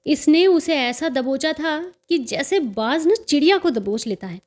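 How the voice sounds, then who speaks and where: A cheerful teenage dandy played by a woman narrator brags in a storybook tale. The voice is high and slow-paced, full of proud, excitable detail about bird fights. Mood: excited, proud.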